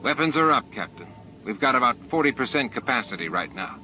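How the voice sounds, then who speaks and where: A man's voice: medium pitch, sing-song, a little fast, soft background music, lightly acted. A man voicing a dependable, matter-of-fact starship engineer in an audiobook. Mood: testy. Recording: dull lo-fi audiobook tape.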